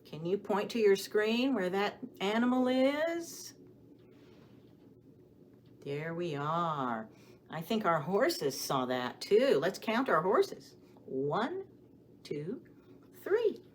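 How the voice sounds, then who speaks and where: A woman leads a story time for small children in a very animated voice. She takes her time, stressing words expressively and putting questions to her young listeners. There is steady noise behind her. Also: mid-range voice, slow, long pauses. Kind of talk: children's story time with songs, rhymes and picture book reading.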